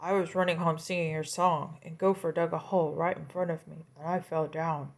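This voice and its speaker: A woman's voice: deep voice, little pitch movement, brisk, vocal fry. A woman voicing an eager, forgetful male coyote in a children's story, pleading and grumbling by turns.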